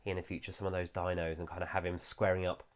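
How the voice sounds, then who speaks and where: A man's voice: low-pitched, flat delivery, fast talker. A man with a nasal voice, recovering from a cold, giving an enthusiastic, chatty toy review with frequent "uh" fillers. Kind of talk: casual product review.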